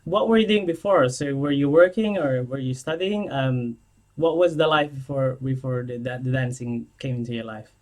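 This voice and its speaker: A man hosting an interview in a conversational style, asking questions in a halting way. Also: mid-range voice. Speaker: interview host.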